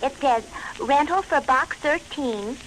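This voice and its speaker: A woman's voice: high-pitched, takes it slowly, a bit bright, rough recording. A woman playing an earnest, naive young secretary in a 1940s radio drama. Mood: earnest, innocent. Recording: vintage radio recording, faint noise.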